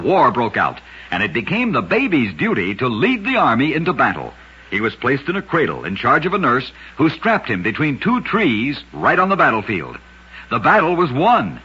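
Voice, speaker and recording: A man narrates scripted oddity tales in an old radio style. His voice is very husky yet bright and forceful, with a storyteller's dramatic delivery. The recording is dull and lo-fi, like a vintage broadcast. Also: medium pitch, wildly swooping pitch, normal speed.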